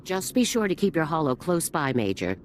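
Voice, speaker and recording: Stern, low-voiced female general. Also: big pitch swings, brisk, a little hiss or hum. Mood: grave. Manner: formal, grave military delivery. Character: stern, hard-nosed female military general in a space opera. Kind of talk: video game cutscene voice acting.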